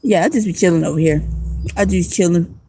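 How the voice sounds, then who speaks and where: A woman's voice: lowish voice, fairly quick, mellow, a little hiss or hum. Easygoing slangy woman chatting on stream. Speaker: homeless vlogger and music producer. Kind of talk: casual livestream chat. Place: outdoors at night.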